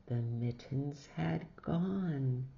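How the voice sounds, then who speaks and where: A woman reading a children's story aloud, theatrical and expressive, exclaiming reactions as she goes. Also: very deep voice, drawn-out words, gravelly. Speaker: storybook reader. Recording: dull lo-fi recording.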